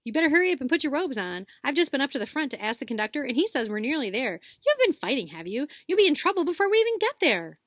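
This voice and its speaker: A prim, know-it-all schoolgirl, as a woman reader puts her on, lectures in a quick, raised, sniffy voice. She sounds close and dry. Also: high-pitched, big pitch swings, fairly bright tone, dry recording, pitched above the performer's own voice. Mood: bossy.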